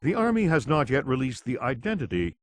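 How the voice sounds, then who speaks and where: An actor playing a sober television news anchor, reading a scripted crisis report for a video game. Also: male voice, mid-range voice, wildly swooping pitch, a little fast, no room sound. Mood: sober, urgent.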